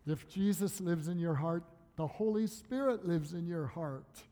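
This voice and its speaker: A woman leading a children's church service, speaking in a gentle, encouraging, teacherly way. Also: fairly low pitch, unhurried, long reverb tail. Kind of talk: children's sermon and skit. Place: church sanctuary.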